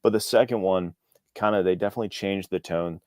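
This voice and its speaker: Deep-voiced man chatting in an interview. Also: fairly quick, a little mellow, no room sound, clean studio sound. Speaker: guest author. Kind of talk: casual conversational interview.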